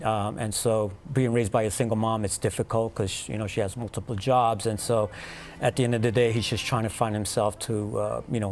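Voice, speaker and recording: Halting male producer, low voice. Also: darkish tone, a little hiss or hum. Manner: halting, many "uh"s. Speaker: film producer. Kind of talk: TV interview guest. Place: TV studio.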